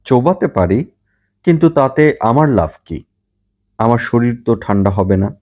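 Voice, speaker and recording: A man's voice: mid-range voice, lively intonation, a little slow, a little mellow, natural voice. A man voicing a grumpy, sceptical young man, a heat-worn older brother, in an audiobook story. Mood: wary. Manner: suspicious, sardonic.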